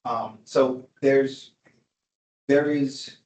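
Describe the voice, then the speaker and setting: A man's voice: mid-range voice, steady tone, extremely slow, darkish tone. A man presenting at a public meeting, rambling and restarting his sentences as he explains. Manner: rambling, self-correcting. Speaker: transit agency presenter. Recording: echoey meeting room.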